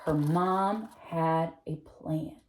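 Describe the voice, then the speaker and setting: A woman reading a children's picture book aloud, expressive, often slowing and stretching her phrases. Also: low-pitched, a little hiss or hum, rough recording. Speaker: read-aloud narrator.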